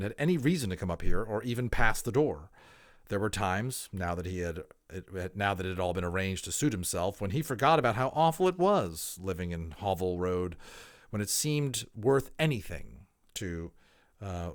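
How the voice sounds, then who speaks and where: A man reading a storybook aloud as a dramatic narrator, expressive and emphatic, in an informal style. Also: sits low, normal speed. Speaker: audiobook reader.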